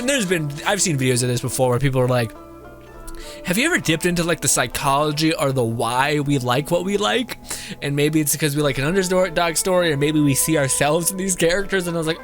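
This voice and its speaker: Young man, higher voice, game streamer, informal jokey commentary, reading aloud, music in background. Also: fairly bright tone.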